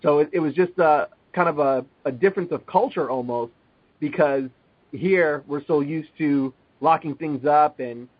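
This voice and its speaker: A man's voice: a little high, moderate pace, husky, no echo, highs cut off. A man from Long Island, telling a personal story in a casual, hesitant way, with many ums and restarts. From Long Island, New York.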